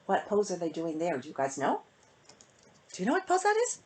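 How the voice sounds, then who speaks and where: A woman children's author with a slightly husky voice, reading a storybook aloud to young listeners and chatting to them in an animated way. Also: on the low side, fairly quick. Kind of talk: casual intro and expressive storybook reading to children.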